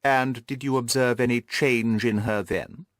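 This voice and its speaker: A man voicing a suave, composed detective who questions a client briskly and inquisitively in an audiobook. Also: medium pitch, steady tone, no echo, studio quality, lightly acted. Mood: brisk, probing. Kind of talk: audiobook character dialogue.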